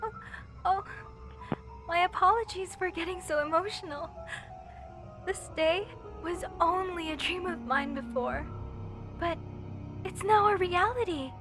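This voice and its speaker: A woman playing an obsessive, sweetly menacing mortician in a horror roleplay, with sugary, theatrical delivery and formal wording. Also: high-pitched. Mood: emotional, grateful. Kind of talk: scripted horror roleplay monologue to listener.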